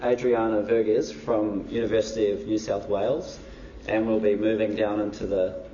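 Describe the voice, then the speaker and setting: A man hosting a lecture event, introducing the speakers in a relaxed, casual way with many fillers. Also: fairly low pitch, moderate pace, slight background noise. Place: university lecture hall. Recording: echoey lecture hall, distant dull mic.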